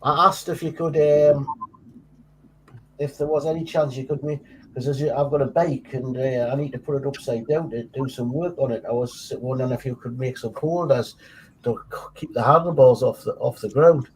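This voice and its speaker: A man's voice: mid-range voice, moderate pace, a little hiss or hum, low-quality recording. Rambling Geordie man. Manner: long-winded, rambling explanations. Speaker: panelist. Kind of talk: casual chat on a live stream.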